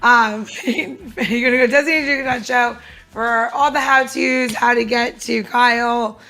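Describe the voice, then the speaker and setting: A woman's voice: a little high, fairly even intonation, measured pace, pushed and bright. A woman co-hosting a podcast, talking casually and informally in a rambling, chatty way, as if walking someone through a recipe. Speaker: podcast co-host giving drink recipes. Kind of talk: casual recipe walkthrough and chat.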